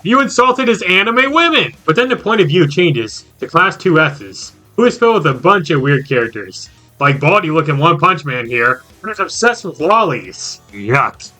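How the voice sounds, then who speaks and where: A man's voice: on the high side, pushed and bright. A man giving a casual, scripted recap of a video game in a jokey, slangy way with exaggerated reactions.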